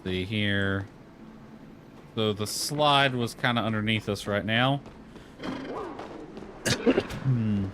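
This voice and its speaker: A man's voice: on the low side, slow pace, pauses often, background noise. A man giving informal gameplay commentary as a streamer, muttering asides to himself as he plays. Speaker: gaming streamer. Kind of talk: casual gameplay commentary, reading game dialogue aloud. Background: music.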